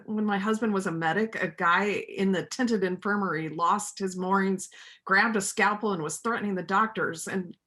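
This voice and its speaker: A woman in an amateur reader's theater play, acting a steadfast, principled small-town doctor who is quietly defiant. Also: medium pitch, moderate pace, close to an everyday voice. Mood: relieved.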